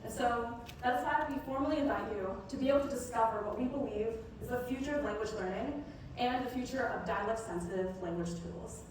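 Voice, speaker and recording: Higher-voiced woman in a very echoey hall. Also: a little fast, darkish tone. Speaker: startup co-founder presenting. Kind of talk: rehearsed demo-day pitch. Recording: echoey hall from far off, noisy.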